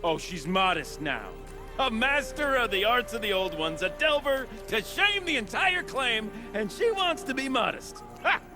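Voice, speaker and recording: An actor playing an eccentric, chatty old tinkerer in a video game, with florid, theatrical, rambling speech. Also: male voice, very high-pitched, sing-song, measured pace, music in the background, heavily acted. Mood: delighted. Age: older. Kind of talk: video game character acting.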